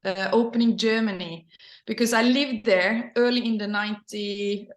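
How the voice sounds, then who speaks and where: A middle-aged woman whose native language is Norwegian, speaking English with a Norwegian accent in casual personal storytelling, sometimes halting as she searches for words. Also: mid-range voice, fairly even intonation. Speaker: interview guest, company leader. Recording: roomy echo.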